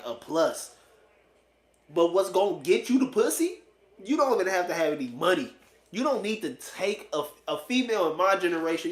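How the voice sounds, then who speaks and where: Echoey, higher-voiced man in his late twenties. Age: late 20s. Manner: blunt, slangy, profane; repeats phrases for emphasis. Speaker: vlogger giving dating opinions. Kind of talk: casual, unscripted opinion monologue. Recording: echoey, roomy sound.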